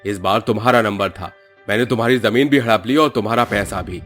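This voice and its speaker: Guy doing a crooked village moneylender for a cartoon, low voice, threatening and smug, music underneath. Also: a little fast, a bit bright. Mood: gloating menace. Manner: bullying, gloating. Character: greedy cheating village moneylender, cruel and threatening man.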